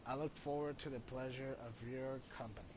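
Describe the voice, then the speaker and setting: A man's voice: mid-range voice, steady tone, a little fast, noisy background. A man reading a formal letter aloud, stumbling slightly over words as he goes. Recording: loud game music behind.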